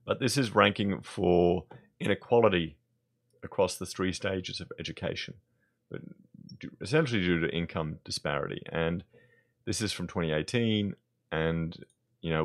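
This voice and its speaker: An Australian man with a hoarse voice, giving blunt, conversational opinion commentary in his vlog. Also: low-pitched, fairly bright tone. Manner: blunt, conversational, thinking aloud. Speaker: vlogger.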